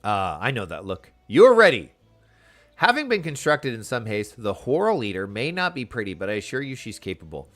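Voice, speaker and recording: Man playing a proud shipwright, fantasy game, avuncular, old-fashioned wording, theatrical, soft music behind. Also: on the low side, wildly swooping pitch, moderate pace. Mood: proud, hearty.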